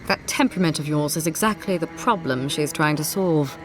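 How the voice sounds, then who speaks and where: A woman playing a smug, zealous inquisitor's agent in a fantasy game, speaking in a measured, formal and condescending way with courteous menace. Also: sits low, over music, close to an everyday voice. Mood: cold, righteous. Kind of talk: scripted fantasy game dialogue.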